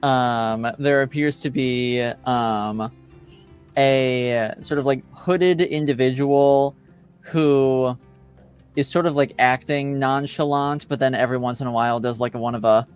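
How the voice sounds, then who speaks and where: A man improvising narration as game master of a tabletop game, casual and hesitant, often halting as he describes things. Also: mid-range voice, steady tone, clear, resonant tone, faint music behind.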